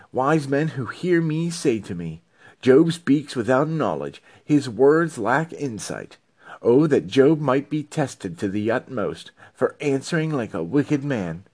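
A man reading scripture aloud in a dramatic, emphatic, oratorical style. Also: medium pitch. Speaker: Bible reader.

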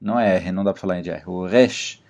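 Male podcast host, low and mellow, opinionated, steers with questions. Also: fast talker. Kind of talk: casual chat.

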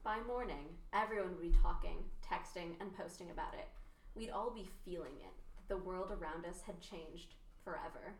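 A young adult woman narrating voiceover for a podcast radio play, smooth and measured. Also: on the low side, distant mic, slight background noise. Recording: echoey room, voice off-mic.

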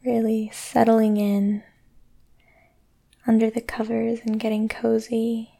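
A woman guiding a sleep meditation in a soothing, gentle way, speaking deliberately with long pauses. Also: a little high, completely flat delivery, creaky. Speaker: meditation guide and podcast host. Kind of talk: guided sleep meditation, yoga nidra.

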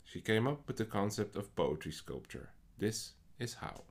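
A man with a Dutch accent, hosting a poetry podcast in a measured, scripted way. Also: lowish voice, sing-song. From Netherlands. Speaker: podcast host. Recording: faint music behind the voice.

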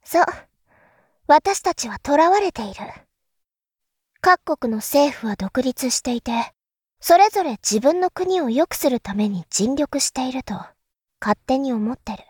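A woman acting as an enigmatic elder sister from an audio drama, in a high voice with a slow, measured delivery. She is calm and philosophical, explaining things like a teacher. The sound is dry and close. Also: husky, no echo, exaggerated voice. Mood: grave. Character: calm, enigmatic elder sister musing darkly about world affairs.

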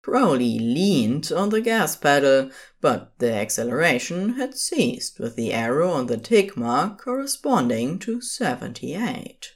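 A woman's voice: sits low, sing-song, measured pace, hardly any pauses. A woman narrating scripted fiction as the storyteller of an audio drama.

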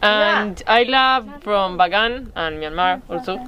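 A woman from Argentina, a tourist, speaking friendly and unhurried in hesitant English. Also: medium pitch, animated, pushed and bright. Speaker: tourist interviewee.

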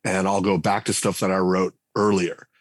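A man, a game writer and designer, chatting casually as an interview guest, relaxed and full of fillers and restarts. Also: sits low, normal speed, no echo, studio quality. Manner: relaxed, thinks aloud with many fillers and restarts.

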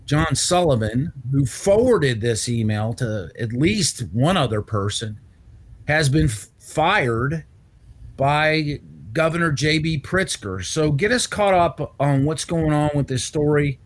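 A man's voice: fairly high pitch, animated, takes it slowly. A man hosting a news commentary segment as publisher, talking casually with rambling restarts.

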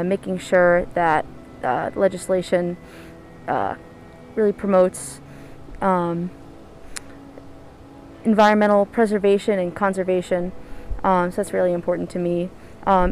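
A twenty-year-old woman from Massachusetts giving unscripted interview answers in a halting, hesitant way. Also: medium pitch, darkish tone, a little hiss or hum. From Plymouth, Massachusetts, United States. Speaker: voter being interviewed.

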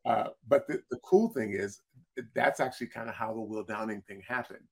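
Gravelly deep-voiced man telling stories. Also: brisk. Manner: repeats words and restarts often, laughs between stories. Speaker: singer-songwriter guest. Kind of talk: casual interview storytelling.

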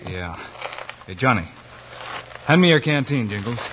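A man playing a steady, resolute cowboy hero in a radio western, level-headed and decisive, with a bright forceful American voice on a dull, noisy old recording. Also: medium pitch, a little slow, close to an everyday voice. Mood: concerned. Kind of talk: 1950s radio western acting.

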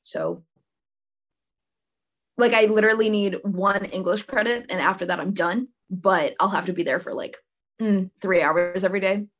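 A teenage girl guest on a podcast, chatting in a relaxed, easygoing way. Her pitch sits in a normal range. The audio sounds a little dull and lo-fi. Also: normal speed. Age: teens. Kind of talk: casual chat.

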